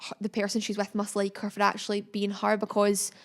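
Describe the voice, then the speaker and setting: A woman's voice: medium pitch, monotone, brisk. A teenage Scottish girl chatting casually as a podcast guest, filling her talk with likes and ums. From Scotland. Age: teens. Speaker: guest pupil. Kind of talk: casual chat. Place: school classroom.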